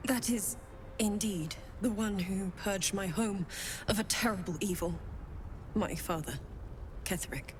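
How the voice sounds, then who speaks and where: A woman's voice: medium pitch, a little slow, long pauses, a little hiss or hum, close to an everyday voice. A woman voicing a devout, noble warrior priestess in a video game, formal and earnest. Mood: earnest, resolute. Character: devout, noble female moon cleric warrior.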